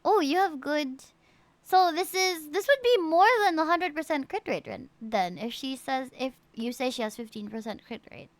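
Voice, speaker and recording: A woman live-streaming a game, chatting casually with viewers and reading out stats in an animated way, with frequent exclamations and asides. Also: high voice, moderate pace, dry recording. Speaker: live streamer reviewing players' game characters.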